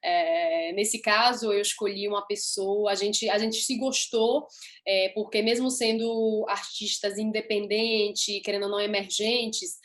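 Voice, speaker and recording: A woman's voice: medium pitch, fairly even intonation, a little slow. A young woman from Italy, Italian her first language, an upbeat singer answering interview questions in chatty, laughing style.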